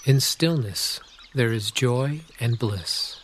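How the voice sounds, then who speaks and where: Calm guy doing a guided meditation, slow spaced out phrases, normal pitch, birds and water sounds clearly behind him. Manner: calm, unhurried, spacing phrases with long pauses. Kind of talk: guided meditation, scripted narration. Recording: clear nature-sound noise under voice. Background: birdsong and pouring water.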